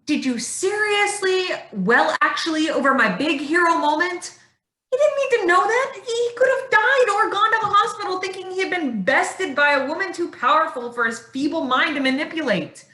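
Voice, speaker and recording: A woman's voice: very high voice, animated, moderate pace, sharp tone, reverberant, full character voice. A woman reading the part of a defiant, sardonic woman fighter in a staged play reading. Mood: exasperated.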